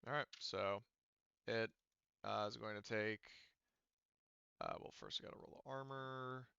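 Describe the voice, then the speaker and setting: A man with a crisp, bright voice leads a tabletop game as game master. He explains options in a casual way and thinks out loud. The recording is close and slightly dull. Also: on the low side, fairly even intonation, a little slow, dry recording.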